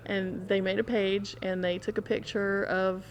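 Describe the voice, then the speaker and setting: A woman's voice: medium pitch, little pitch movement, measured pace, a little hiss or hum. A woman in a casual podcast conversation, telling an anecdote and acting out quoted remarks as she goes.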